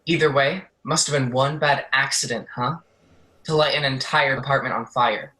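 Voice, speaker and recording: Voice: mid-range voice, steady tone, moderate pace, pushed and bright, natural voice, low-quality recording. An actor playing a scornful, foul-mouthed jail officer in an audio play, brusque, sarcastic and bullying.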